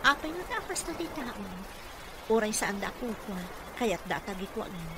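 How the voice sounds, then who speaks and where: A woman's voice: medium pitch, very animated, normal speed, close to an everyday voice. An actress playing a dutiful single mother who works as a caregiver, in Ilocano-accented radio drama, with a deferential, coaxing manner. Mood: curious, gossipy. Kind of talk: Filipino radio drama acting. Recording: noisy radio drama with background ambience.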